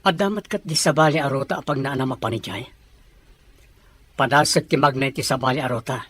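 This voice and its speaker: A man's voice: medium pitch, brisk, a bit bright, breathy edge. A man with an Ilocano accent acting a guarded, matter-of-fact boss in a radio drama.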